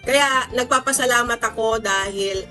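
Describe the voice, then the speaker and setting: Bright-voiced Filipino woman, chatty vlogger. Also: a little high, soft background music. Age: 40s. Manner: chatty, conversational, emphatic with drawn-out words. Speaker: social science teacher and content creator. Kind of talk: casual storytelling vlog to followers.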